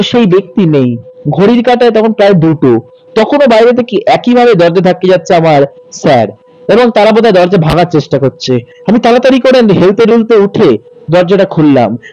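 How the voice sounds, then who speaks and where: A man narrating a horror story in the first person, suspenseful, with dramatic pauses and repetition. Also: high-pitched, a little mellow, dull audio.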